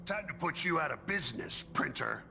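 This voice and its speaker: An actor playing a menacing male thug in a video game, with a gravelly voice. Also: a little high, expressive, fairly quick. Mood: threatening. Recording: loud music over the voice.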